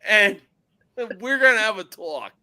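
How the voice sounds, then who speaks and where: A man from North holds forth on a sports podcast, blunt and profane, laughing easily. His voice sits high and bright and swings widely in pitch. He talks slowly and takes his time. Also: very animated, smooth. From North Jersey, USA. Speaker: podcast guest. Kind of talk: casual sports podcast chat.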